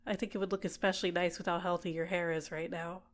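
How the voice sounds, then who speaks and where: Woman acting as a doting female villain, possessive, softly coaxing, slightly low pitch, soothing ASMR roleplay. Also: flat delivery, fast, natural voice. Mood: curious, coaxing. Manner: gentle, coaxing, soothing, lightly teasing. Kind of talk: ASMR roleplay, personal attention.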